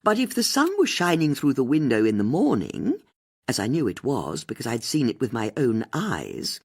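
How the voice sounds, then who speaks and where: A woman's voice: low voice, a little mellow, breathy edge, heavily acted. A woman voicing a shrewd, triumphant older female amateur sleuth in an audiobook, speaking precisely and theatrically as if laying out a case. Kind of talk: audiobook character dialogue.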